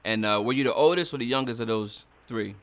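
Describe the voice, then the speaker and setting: Bright-voiced enthusiastic male host. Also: medium pitch, fast talker. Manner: enthusiastic, slangy, laughs often. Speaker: show host. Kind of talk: interview hosting.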